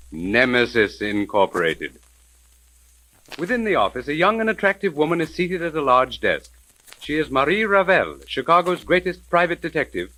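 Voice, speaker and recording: An actor playing a formal, suspenseful narrator of a 1930s radio mystery serial. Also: male voice, mid-range voice, sharp tone, rough recording.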